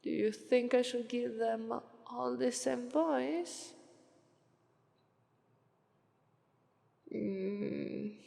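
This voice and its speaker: A woman with an Italian accent voicing a languid, aloof, coolly persuasive sloth demon in a slow deadpan ASMR roleplay monologue. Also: fairly high pitch, gravelly, full character voice. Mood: bored, musing. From Italy. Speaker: voice actress. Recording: long reverberant echo on voice.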